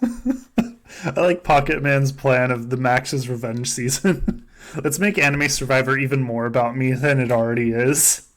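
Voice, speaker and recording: A man's voice: mid-range voice, measured pace. A man hosting a casual comedic recap with friends, swearing freely and often laughing through his sentences. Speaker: podcast host.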